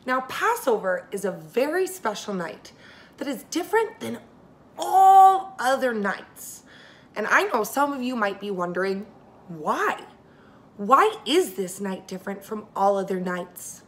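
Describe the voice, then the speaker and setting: A woman preschool teacher reading a story aloud to young children, expressive and speaking to them directly. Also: a little high, measured pace.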